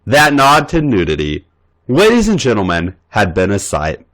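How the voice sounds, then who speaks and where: A man's voice: fairly low pitch, wildly swooping pitch, moderate pace, fairly bright tone. A man reading erotic fan fiction aloud as a podcast host, playful and theatrical.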